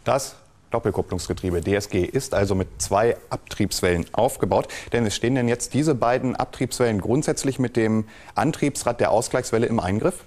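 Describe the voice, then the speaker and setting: A man's voice: lowish voice, moderate pace, a little mellow. A man presenting in a structured training-film style, summing up and putting questions to an expert.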